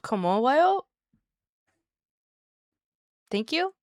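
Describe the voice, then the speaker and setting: A woman streaming live and chatting easily with her viewers by name. Her soft, soothing voice sounds smooth and clear. It is recorded dry and close, with no room sound. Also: high voice, big pitch swings, extremely slow, dry recording. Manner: chatty and giggly, talks to chat by name.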